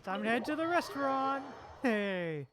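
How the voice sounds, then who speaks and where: A woman's voice: fairly high pitch, sing-song, a little slow, a bit bright, a little hiss or hum. A woman streaming a game, giving casual, laid-back reactions in short interjections. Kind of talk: casual Let's Play reactions. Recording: faint game music behind.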